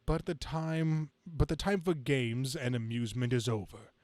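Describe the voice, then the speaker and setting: A man voicing a gloating, grandiose comic-book supervillain in a halting dramatic reading. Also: mid-range voice, steady tone, darkish tone, no room sound, close to an everyday voice. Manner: grandiose, halting monologue with stumbles. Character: gloating male comic-book supervillain scheming against humanity.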